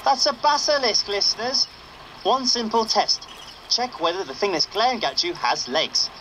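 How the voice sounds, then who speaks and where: An actor playing a cheeky rebel radio correspondent in a game, bantering with jokey deadpan asides. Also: male voice, high voice, normal speed, slight background noise, low-quality recording. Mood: wry, joking. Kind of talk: broadcast radio banter, scripted game dialogue.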